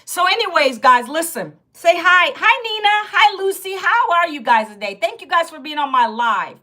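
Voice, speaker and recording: Bright-voiced chatty woman in her fifties. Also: very high-pitched, big pitch swings, normal speed. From Virginia, United States. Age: 50s. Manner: chatty and direct, greets and addresses viewers often. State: sore back, fearing loss of voice. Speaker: livestream host. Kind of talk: casual livestream chat to viewers.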